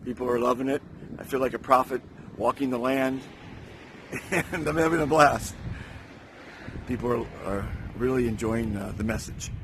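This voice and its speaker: A man's voice: medium pitch, moderate pace, pauses often, fairly bright tone. An upbeat man chats to his phone camera at a windy outdoor resort. His voice is strained and fading, but he stays cheerful. A faint background hiss sits under the recording. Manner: upbeat, enthusiastic. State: losing his voice. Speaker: vlogger. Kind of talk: casual vlog chat.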